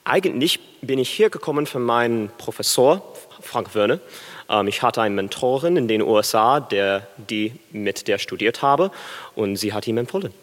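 A young American man, a baritone student, answering interview questions on stage in halting, careful German full of pauses and fillers. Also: lively intonation, big-room sound. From USA. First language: English.

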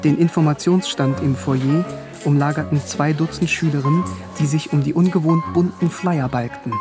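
A man giving wry, reflective first-person literary narration. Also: on the high side. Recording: music under voice.

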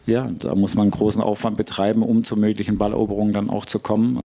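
A man, a football coach, giving a measured, analytical and slightly hesitant unscripted answer. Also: lowish voice, little pitch movement, gravelly, mellow.

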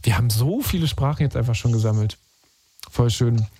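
A man hosts a radio call-in show in a loose, slangy, chatty way. His voice sits at a middle pitch. The sound is close and dry, with little room around it. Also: fairly quick, dark tone, husky, no room sound. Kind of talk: casual, slangy call-in chat.

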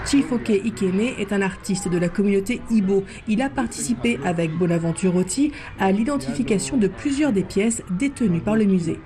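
A woman's voice: medium pitch, normal speed, few pauses. A woman reporter reading a scripted radio report. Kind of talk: scripted news report narration.

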